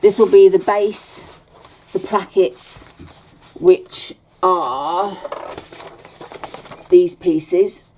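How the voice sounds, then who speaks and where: A woman teaching a relaxed sewing tutorial at home, chatty, with frequent ums and small self-corrections. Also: on the low side. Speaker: bag maker teaching a sew-along.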